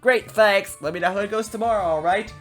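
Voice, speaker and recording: A man's voice: high voice, brisk, fairly bright tone, pitched above the performer's own voice. Brash storybook princess, done by a male reader: young, pushy, casually blunt, full of slangy filler, over background music. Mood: pushy, breezy.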